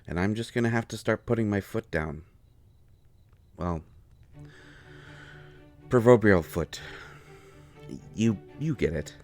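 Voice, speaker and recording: Low-voiced, earnest male healer acted by a man. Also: takes it slowly, long pauses, darkish tone, soft background music. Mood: tender, reassuring. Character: devoted, anxious male healer, tender and exasperated with partner. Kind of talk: intimate roleplay audio drama monologue.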